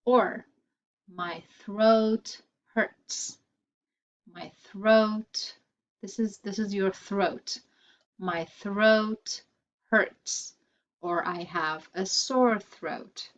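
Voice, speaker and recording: A woman gives an English vocabulary lesson at an extremely slow pace, repeating every phrase with care for learners. Her voice sits at a middle pitch. The recording sounds a little dull. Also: steady tone. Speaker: English teacher.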